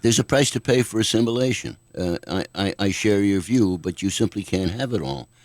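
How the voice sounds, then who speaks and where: Deep-voiced older man, measured. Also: expressive, no echo. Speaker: guest author. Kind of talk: radio interview.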